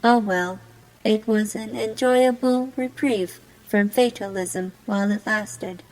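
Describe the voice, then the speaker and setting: A woman playing a bored, fatalistic young troll girl in an amateur monologue, speaking formally and deliberately. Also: mid-range voice, a little mellow, pitched below the performer's own voice, lightly acted, poor audio. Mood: bored, resigned. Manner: formal, deliberate, resigned wording.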